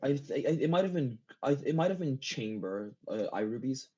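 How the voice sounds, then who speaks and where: A man's voice: mid-range voice, expressive, moderate pace, dark tone, slightly rough. A man chatting casually as an interview guest, often stopping and rephrasing himself mid-sentence. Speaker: interview guest, game map builder. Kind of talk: casual chat. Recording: dull lo-fi voice recording.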